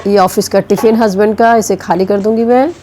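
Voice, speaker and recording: A woman's voice: mid-range voice, fairly even intonation, a little fast, warm tone, soft background music. A middle-aged woman vlogging at home with a sore throat and fever, chatting about her cooking as she works in the kitchen. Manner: chatty, explaining chores as she goes. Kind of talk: casual home vlog narration.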